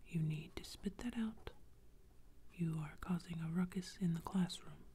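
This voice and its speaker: A stern male schoolteacher scolds in a hush, as a woman whispers him. Her voice stays dark and mellow, and she speaks slowly over faint background hiss. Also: lowish voice, natural voice.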